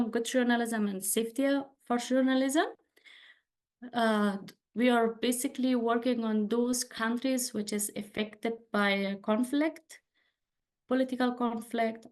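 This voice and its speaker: Afghan-accented woman, halting English. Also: fairly high pitch. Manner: halting non-native English with many fillers. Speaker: webinar speaker. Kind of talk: presentation in a webinar.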